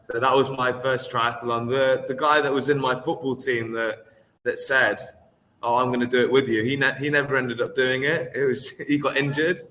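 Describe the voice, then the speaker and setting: A young man, a triathlete talking casually as an interview guest, relaxed and hesitant with many fillers. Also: medium pitch, normal speed, long reverb tail, slight background noise, low-quality recording. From England.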